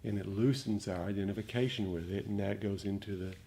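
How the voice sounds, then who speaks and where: A man giving a dharma talk as a meditation teacher, speaking reflectively and gently, with frequent pauses. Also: on the low side, gravelly. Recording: noticeable background noise.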